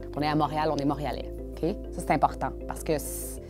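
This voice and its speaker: A woman's voice: low voice, level tone, fast talker, over music. A woman artist speaking emphatically in a documentary interview. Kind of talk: casual testimony.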